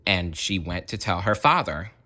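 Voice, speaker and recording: A man reading a children's story aloud in an expressive, deliberate way, with casual, chatty asides. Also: low-pitched. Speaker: storybook reader.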